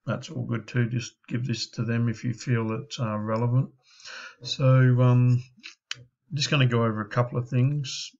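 A slightly muffled, lo-fi recording of a man talking through a website review. He speaks slowly, with little movement in pitch. His delivery is informal and halting, like a consultant explaining over a screen share. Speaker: digital marketing consultant.

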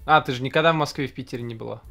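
Young man, host, video call, relaxed, short questions, faint music behind. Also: mid-range voice, rapid-fire, gravelly. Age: young adult. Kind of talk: casual video-call interview. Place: indoor home room.

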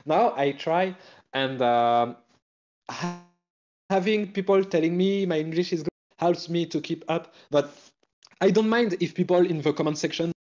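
A French-speaking man chatting in English with a French accent, hesitant and self-conscious, pausing often with fillers and laughing. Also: a little high, a little mellow, lo-fi sound. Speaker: guest streamer. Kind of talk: casual stream chat.

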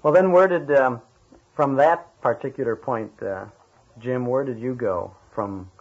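A man with a husky, mid-pitched voice conducts a casual interview. His questions come hesitantly and trail off. The recording sounds dull and lo-fi. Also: unhurried, a little mellow.

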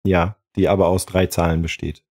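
A man's voice: deep voice, flat delivery, a little fast, darkish tone. A man co-hosting a podcast in casual chat, rambling and joking as he talks. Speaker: podcast co-host.

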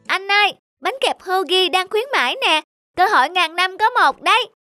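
A woman voicing an eager, excitable little boy in a cartoon, with a childlike way of talking. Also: very high-pitched, normal speed, soft background music, exaggerated voice. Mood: excited. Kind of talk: cartoon voice acting.

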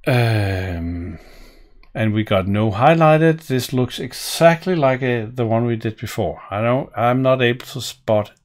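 A man's voice: mid-range voice, lively intonation, measured pace. A middle-aged man from Denmark with a Danish accent, thinking aloud as he walks through code in a home office. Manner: musing, thinking aloud while typing. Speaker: programming tutorial host. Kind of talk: live coding walkthrough. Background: keyboard typing and clicks.

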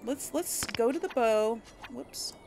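A woman's voice: on the high side, slow, darkish tone, a little hiss or hum. A woman giving casual, chatty gameplay commentary to her viewers, thinking aloud as she plays. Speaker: let's player hosting a gameplay series.

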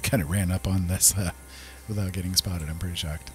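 A man with a low voice gives casual gameplay commentary. He is chatty and playful, often muttering to himself, while faint music plays behind him. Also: steady tone, a little fast. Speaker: gaming video host.

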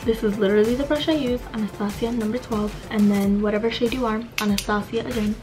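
A woman's voice: mid-range voice, fairly even intonation, quick pace, music in the background. A woman co-hosting a casual Q&A in a small room, joking in a playful, teasing way. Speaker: co-host of a channel Q&A. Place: small dim bedroom, indoors.

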